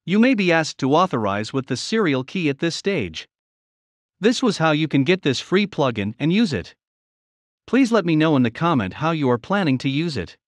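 Lively instructional man, dry voice-over. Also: on the high side, dry recording. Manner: plain, measured instructional delivery. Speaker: software tutorial narrator. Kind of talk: step-by-step screen tutorial narration.

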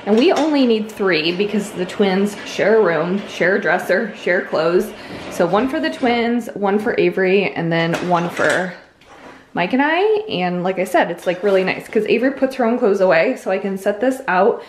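A woman in her thirties with an American accent talks casually to her viewers. She has a mid-pitched voice and explains things in a chatty, informal manner, like a home vlogger indoors. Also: animated, moderate pace, slight background noise. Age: 30s. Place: indoors in a house.

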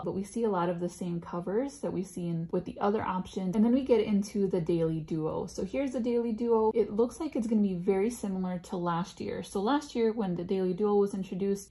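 Gushy woman vlogger, bit raspy today, mellow warm voice, chatty walkthrough. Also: mid-range voice. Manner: chatty, enthusiastic, gushing. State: slightly raspy voice. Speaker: planner vlogger. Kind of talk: casual screen-share walkthrough. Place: at home. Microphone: voice-over on screen recording.

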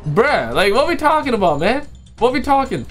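Animated man reacting on stream. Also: very high-pitched, normal speed, soft background music. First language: not English. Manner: animated, slangy, repeats phrases, mocking. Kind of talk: casual reaction commentary. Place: home streaming room. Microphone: headset.

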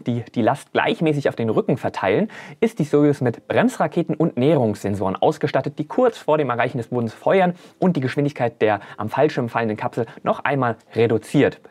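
A man's voice: medium pitch, darkish tone, slightly rough. A man, hosting a spaceflight explainer in a chatty way, addressing viewers directly with casual asides. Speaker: host of a spaceflight explainer channel. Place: small indoor studio set. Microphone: lapel mic.

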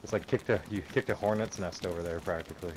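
A man's voice: deep voice, fairly even intonation, quick pace, noisy background. A man in casual game chat with friends, hesitant, often restarting his sentences and laughing. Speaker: gamer, rival clan member.

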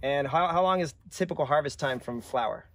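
A man's voice: fairly high pitch, brisk, fairly bright tone. A middle-aged man hosting a casual on-location interview outdoors on a farm. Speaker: video host interviewing a grower. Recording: outdoor noise behind the voice.

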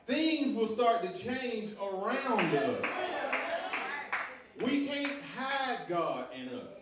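A young man preaches in a folksy, storytelling way, drawing call-and-response from the congregation. His voice sits high for a man and moves at an unhurried pace. He is captured from far off in a big, echoey sanctuary, with organ music behind him. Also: very animated, heavy background noise. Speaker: guest preacher. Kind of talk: sermon with sung opening. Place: large church sanctuary. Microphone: stand mic at pulpit, far camera.

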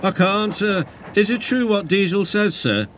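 A man's voice: sits high, lively intonation, measured pace, fairly bright tone, soft background music, pitched above the performer's own voice. A man voicing a pompous, grumpy big express engine in a children's storybook. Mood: worried. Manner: pompous, huffy.

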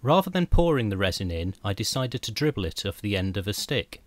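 A middle-aged man calmly narrating a scripted woodworking how-to, step by step, as the channel's host. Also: lowish voice, a little fast, dry recording. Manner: calm, methodical step-by-step explanation.

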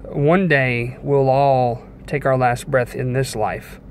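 A young man speaks at a middling pitch, delivering a devotional message like an informal sermon. His manner is earnest and measured, with pauses between short phrases. He is recorded on a phone inside a car, with some background noise around the voice. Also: fairly even intonation. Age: young adult. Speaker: preacher giving a devotional message. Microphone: phone at arm's length.

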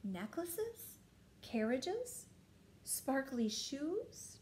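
A woman's voice: high-pitched, sing-song, very slow, darkish tone, a little hiss or hum, voice pushed up, exaggerated voice. A woman voicing an eager, indulgent storybook king who exclaims and draws words out.

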